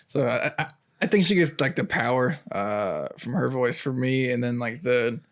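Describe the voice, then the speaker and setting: A man's voice: medium pitch, moderate pace. A young man from Florida co-hosting a podcast, chatting casually about his baby daughter, with a loose, filler-heavy way of talking. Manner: easygoing, lots of fillers, laughs often. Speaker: podcast co-host. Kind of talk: casual chat.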